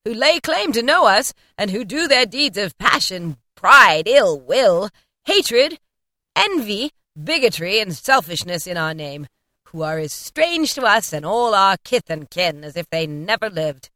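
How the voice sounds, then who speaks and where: A woman reading an audiobook and voicing a giant, generous male Christmas spirit who speaks in loud, emphatic exclamations. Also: mid-range voice, big pitch swings, a little slow, no room sound, clean studio sound.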